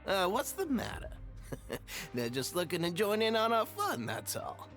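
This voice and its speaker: A man's voice: high-pitched, wildly swooping pitch, measured pace, a bit bright, soft background music, exaggerated voice. A man voicing a skinny, playful, good-natured gossip in a video game, teasing and laid-back.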